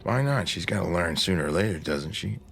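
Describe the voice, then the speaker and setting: An American actor playing a stern, practical, middle-aged husband, blunt and insistent, in a radio drama. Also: male voice, deep voice, lively intonation, a little fast, gravelly, fairly bright tone, a little hiss or hum, full character voice. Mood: indignant, insistent. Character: stern, practical, impatient small-town husband.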